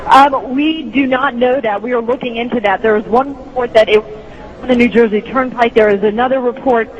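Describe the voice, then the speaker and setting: A woman news correspondent giving a live breaking news report in a careful, halting delivery. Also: a little high, steady tone. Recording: dull lo-fi broadcast, faint noise.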